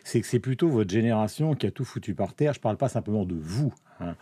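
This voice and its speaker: Un homme journaliste qui mène une interview politique, pose des questions pressantes et coupe souvent la parole. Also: male voice, fairly low pitch, lively intonation, fast, mellow, slight background noise.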